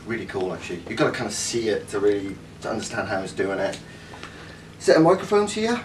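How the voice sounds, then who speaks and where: A man's voice: fairly low pitch, animated, fast. A man in his thirties with a Cardiff Welsh accent, casually reviewing a gadget at home, enthusiastic and rambling with many fillers. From Wales. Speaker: tech reviewer. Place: home living room. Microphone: camera mic from a few metres. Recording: distant camera mic, faint room noise.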